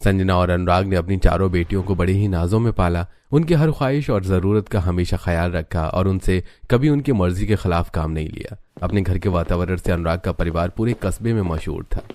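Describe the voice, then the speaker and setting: Low-pitched man narrating a story. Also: brisk, few pauses, darkish tone, no echo. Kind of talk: scripted Hindi storytelling narration.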